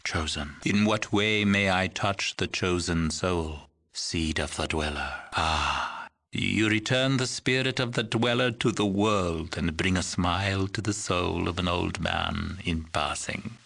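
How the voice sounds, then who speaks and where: A man's voice: low-pitched, gravelly, fairly bright tone, heavily acted. An actor playing a frail old village shaman in a video game, mystical and solemn, speaking in ceremonious, cryptic riddles. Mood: solemn, benevolent. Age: older. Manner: slow, ceremonious, cryptic, archaic phrasing. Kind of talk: scripted video game voice acting.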